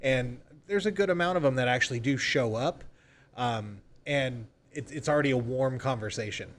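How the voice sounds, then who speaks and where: A man's voice: mid-range voice, moderate pace, slight background noise. A man speaking as a real estate agent in a conversational podcast interview, informally explaining and acting out example sales calls. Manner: informal, role-plays example phone calls.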